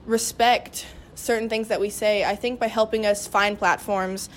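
A woman's voice: medium pitch, steady tone, moderate pace, a little hiss or hum. A young woman in her late teens speaking casually and candidly as a guest, earnest, with lots of "like" in her sentences. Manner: earnest, heavy use of "like". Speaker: student cast member, podcast guest.

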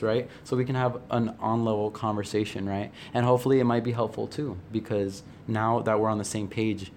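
A young man in a reflective group conversation, speaking earnestly and haltingly, often repeating phrases as he works out his thoughts. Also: on the low side, darkish tone. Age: young adult. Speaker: podcast guest, play cast member. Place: indoor room, group podcast recording. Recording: faint noise, room ambience.

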